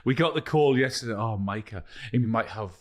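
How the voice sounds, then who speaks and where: A man's voice: mid-range voice, fairly quick. A man co-hosting a casual football podcast, teasing and emphatic. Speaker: co-host.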